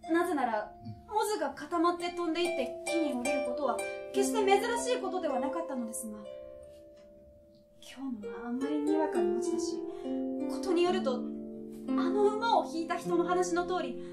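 A woman in her twenties giving a theatrical, expressive dramatic recitation of a literary story. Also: very high-pitched, a little fast. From Tokyo, Japan. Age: 20s. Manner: theatrical, expressive delivery, acting out children's dialogue. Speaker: stage storyteller. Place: small indoor stage. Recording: echoey stage room with live music. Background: plucked harp music.

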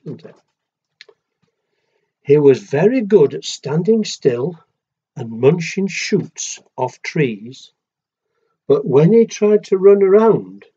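A man in his sixties reading a children's picture book aloud, expressive and drawn-out, with asides to young listeners. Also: fairly high pitch, slightly rough, dull audio. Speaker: storyteller. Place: small wooden shed, indoors.

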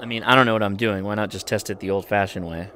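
A man's voice: fairly low pitch, steady tone, quick pace, pushed and bright, a little hiss or hum. A man giving a casual hands-on product review, informally thinking aloud as he goes. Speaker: host of a radio gear review channel. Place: indoors at a desk.

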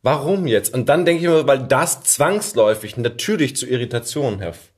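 A man's voice: medium pitch, expressive, a little fast. A man hosting a podcast in a casual chat, talking informally and with plenty of slang. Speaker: podcast host.

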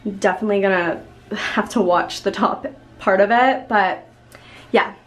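A woman in her twenties talks to her vlog camera in a casual, chatty way. Her voice sits at a medium pitch and she rambles along apologetically. She is recorded on a handheld camera in a small bathroom. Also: normal speed. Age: 20s. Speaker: vlogger. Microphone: handheld camera at arm's length.

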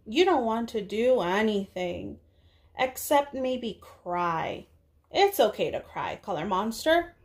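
A young woman, a preschool teacher, speaking to small children in a deliberate read-aloud style with drawn-out words. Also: on the high side, sing-song. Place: small indoor room.

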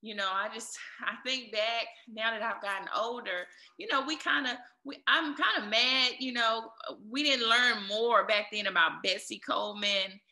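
A woman's voice: a little high, moderate pace, few pauses, sharp tone. A woman answering casually as an interview guest, talking in an encouraging, motivational way. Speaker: interview guest, air traffic controller and DJ. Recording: roomy, echoey sound.

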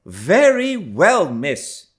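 A man's voice: sits high, huge pitch swings, drawn-out words, pitched above the performer's own voice, heavily acted. A man voicing a stern but fond woman housekeeper, emphatic and reproachful. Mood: stern scolding.

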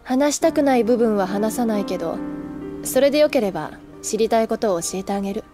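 A woman's voice: fairly high pitch, lively intonation, a little fast, music in the background, lightly acted. An actress playing a candid older schoolgirl in an anime audio drama, languid and teasing with a wistful streak. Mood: candid, calm.

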